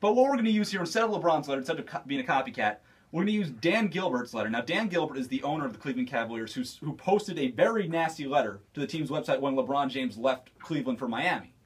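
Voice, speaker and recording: A man's voice: medium pitch, animated, quick pace, husky, recorded from a distance. A man, a comedian and impressionist, chatting casually on a radio show. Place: radio studio.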